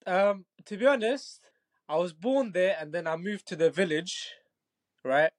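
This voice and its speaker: A young fighter in his twenties from Bangladesh speaks in a relaxed, chatty way, often checking in with his listener. His voice sits slightly higher than most men's. He sounds easygoing and confident. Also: unhurried. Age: 20s. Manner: laid-back, repeats "do you know what I mean", laughs easily. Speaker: Muay Thai fighter, guest. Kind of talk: casual interview chat.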